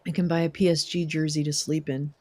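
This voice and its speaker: A young woman hosting a casual livestream, chatting with viewers, swearing and laughing often, her voice wearing hoarse. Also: deep voice, level tone, quick pace, a little mellow. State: losing her voice. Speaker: livestream host. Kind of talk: casual livestream chat reading viewer comments.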